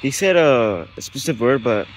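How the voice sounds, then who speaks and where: A man's voice: mid-range voice, expressive, normal speed, background noise. A man giving casual, off-the-cuff commentary as a radio hobbyist, thinking aloud in a tentative way.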